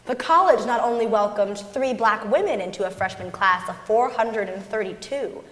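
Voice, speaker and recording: Expressive young woman reading, echoing hall. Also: a little high. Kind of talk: dramatic reading of a memoir. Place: large indoor concert hall stage. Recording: echoey concert hall stage recording.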